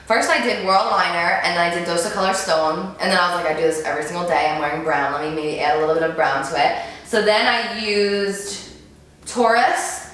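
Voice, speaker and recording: A woman's voice: on the low side, fairly quick, a bit bright. Chatty young woman in a roomy echo. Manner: chatty, gushing, many "like"s and "um"s. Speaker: beauty vlogger. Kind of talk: casual Q&A chat to camera.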